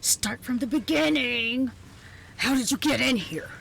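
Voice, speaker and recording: A woman playing a haughty, flustered reclusive scientist in a close ASMR roleplay, halting and repeating herself as she gives orders. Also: a little high, creaky, a bit bright, slightly rough, full character voice. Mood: wary, commanding. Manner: halting, repetitive, sighing, imperious.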